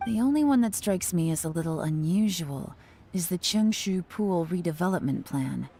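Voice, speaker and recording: A woman voicing a composed female intelligence agent in a video game, speaking in a calm, measured way. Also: sits low, a little hiss or hum. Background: game music.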